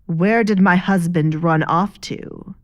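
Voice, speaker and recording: A woman's voice: lowish voice, takes it slowly, muffled recording. A woman voicing a cruel, scheming baroness in an audio drama, haughty and menacing, with mock-wounded airs. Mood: menacing, commanding. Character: sly, cruel, scheming baroness, a married mother.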